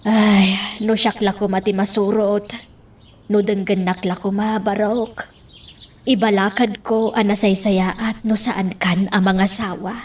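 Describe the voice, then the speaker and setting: A woman's voice: medium pitch, level tone, a little slow, close to an everyday voice. An actress playing a doting older woman who coaxes and advises a young man in an Ilocano radio drama. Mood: coaxing.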